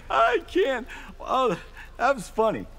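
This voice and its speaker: An actor playing a smug, flirtatious movie-star fighter in a video game, playful and drawling. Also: male voice, extremely high pitch, wildly swooping pitch, extremely slow, a bit bright, full character voice. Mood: cocky, flirty. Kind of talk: fighting game character dialogue. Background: game music.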